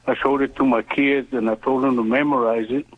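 A man in his seventies calling in to a radio show, speaking earnestly in halting, deliberate phrases over the telephone. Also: medium pitch, fairly even intonation. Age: 70s. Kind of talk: earnest phone-in testimony and prayer request. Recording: narrow tinny phone line.

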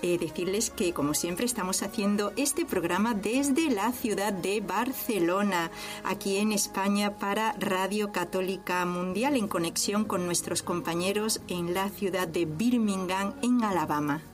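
A woman radio host speaks in a medium-pitched voice over faint music. She is chatty and informal, drifting into asides as she presents a religious programme. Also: measured pace. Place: radio studio in Barcelona.

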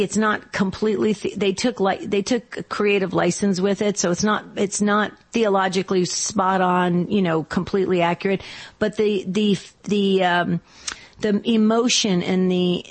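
A woman with a slightly scratchy voice hosting a radio call-in show in a chatty, casual, effusive way. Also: medium pitch.

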